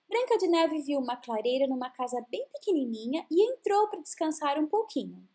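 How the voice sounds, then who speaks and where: A woman with a Brazilian accent narrating a scripted fairy tale for children, with expressive, drawn-out emphasis. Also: sits high. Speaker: children's storyteller.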